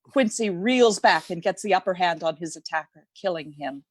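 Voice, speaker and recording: A woman's voice: fairly low pitch, lively intonation, normal speed, breathy edge, dry recording, clean studio sound. A woman giving dramatic scripted narration for a radio play.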